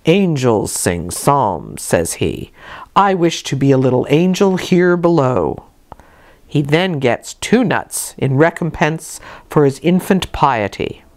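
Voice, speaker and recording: A woman acts out a stern, pious clergyman of middle age from a classic storybook. The delivery is pompous and moralizing, with wide swings in pitch on a fairly low base. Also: big pitch swings, a little mellow, full character voice. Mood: pious smugness. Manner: pompous, sanctimonious, slow deliberate moralizing. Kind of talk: dramatized audiobook reading.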